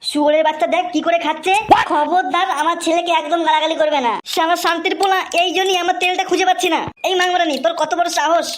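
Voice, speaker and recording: A performer voicing a crude, quarrelsome cartoon villager with a squeaky, pitch-shifted voice in a Bengali comedy dub. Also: flat delivery, fast, few pauses. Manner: foul-mouthed, quarrelsome, exclamatory.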